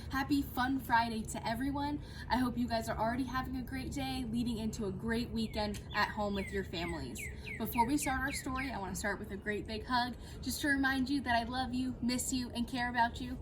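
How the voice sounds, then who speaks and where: A woman teacher speaking and reading aloud to children in an animated, affectionate, expressive way. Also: on the high side, brisk, hardly any pauses. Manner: animated, addressing young listeners affectionately. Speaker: teacher reading a story to pupils. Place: outdoors in a backyard. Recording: clear background noise, outdoor ambience.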